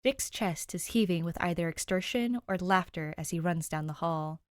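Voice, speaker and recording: A woman's voice: lowish voice, moderate pace, no room sound, clean studio sound. A woman giving a scripted narration of a story as an audiobook reader. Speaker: podfic narrator.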